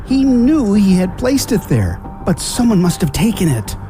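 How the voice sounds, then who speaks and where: A man's voice: high-pitched, very animated, normal speed, warm tone, faint music behind. A man narrating a scripted family radio drama in a storybook style.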